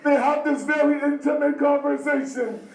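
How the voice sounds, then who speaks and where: Male preacher, mourning sermon, extremely high-pitched, anguished, dramatic repetition, echoey hall. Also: fairly even intonation, normal speed. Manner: emotional, dramatic, repetitive storytelling with acted-out dialogue. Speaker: preacher, religious lamentation reciter. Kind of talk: impassioned mourning sermon narrating a tragedy. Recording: echoey hall, distant microphone.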